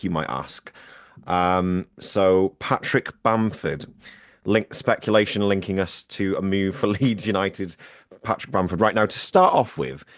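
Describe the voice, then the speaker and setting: A man's voice: low voice, dry recording, clean studio sound. A man co-hosting a football podcast, chatty and self-deprecating, reading out a news roundup. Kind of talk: casual podcast chat.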